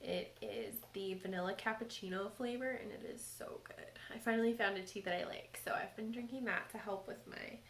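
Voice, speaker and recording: A woman hosting a knitting podcast, chatting casually as she shows her projects. She is stuffy from a cold and talks in a relaxed way with frequent ums. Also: medium pitch, a little fast, gravelly, few pauses. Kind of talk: casual show-and-tell chat. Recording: echoey room with faint background noise.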